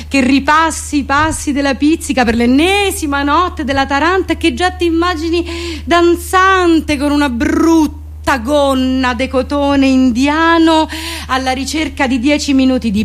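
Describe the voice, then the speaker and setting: A woman with a Roman accent performing a theatrical comic monologue, with deadpan, mock-solemn irony and colloquial turns. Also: sits high. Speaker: comedian, actress performing her own monologue.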